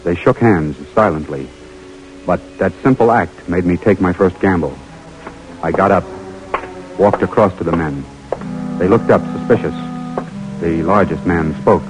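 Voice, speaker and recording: Radio play lieutenant, forties: low, restrained, measured military delivery, soft music beneath. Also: male voice, poor audio. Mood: measured, suspenseful. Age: middle-aged. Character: composed, dutiful forty-year-old army lieutenant narrating his mission. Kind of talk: old-time radio drama acting and narration. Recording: old radio drama, faint music.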